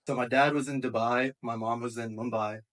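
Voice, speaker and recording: Guy in his 20s w american accent, average pitch, casual storytelling. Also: steady tone, brisk, fairly bright tone. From raised in England and Texas. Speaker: panel guest, IT manager.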